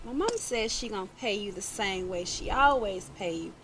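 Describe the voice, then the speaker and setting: A woman's voice: mid-range voice, normal speed, noisy background, lightly acted. A young woman with a Memphis Southern accent playing a sweet, polite customer in an outdoor comedy skit. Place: outdoors in a suburban driveway.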